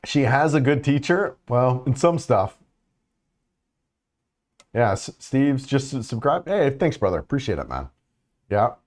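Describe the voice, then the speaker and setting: A man in middle age talks loosely on a live stream. He restarts sentences often, fills gaps with "you know" and "right," and keeps an unhurried, easygoing tone. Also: medium pitch, very animated, a little mellow. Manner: rambling, many restarts and fillers, easygoing. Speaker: vlogger hosting a live chat. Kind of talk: casual live-stream chat reading comments.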